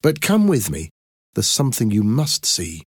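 A man voicing an excitable, determined, cheerful young woman in a story, with eager, emphatic delivery. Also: lowish voice, moderate pace, husky, dry recording, clean studio sound, exaggerated voice. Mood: eager, insistent.

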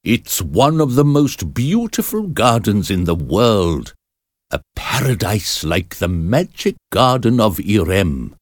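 A commanding storybook astrologer, acted out by a man, speaks slowly and deliberately. The low voice swings dramatically up and down, sounding emphatic and sure of its magic. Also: no echo, clean studio sound, exaggerated voice. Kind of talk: storybook character reading.

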